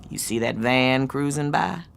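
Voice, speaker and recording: A woman playing a hard, jaded, domineering middle-aged American aunt in an audio drama, scolding with forceful emphasis. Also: rumbling low, measured pace, creaky, heavily acted. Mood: menacing. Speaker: actress. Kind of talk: naturalistic audio drama acting.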